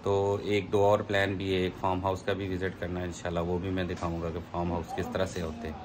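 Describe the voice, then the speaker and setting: Middle-aged man, Pakistani Urdu accent, low voice, relaxed chatty travel-vlog delivery, phone mic with noise behind. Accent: Urdu-speaking, Pakistani. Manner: chatty, unhurried, many fillers and asides. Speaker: travel vlogger. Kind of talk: casual travel vlog narration. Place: indoors by a plain wall, then driving on a highway. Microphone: phone camera at arm's length.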